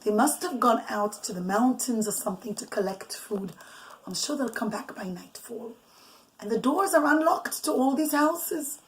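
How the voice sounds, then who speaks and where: A mother telling an informal, improvised religious bedtime story in an animated, conversational way, mixing in Hebrew and Yiddish terms. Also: a little high, moderate pace, rough recording. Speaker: mother telling bedtime stories to children and listeners. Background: noise, clicks, small children nearby.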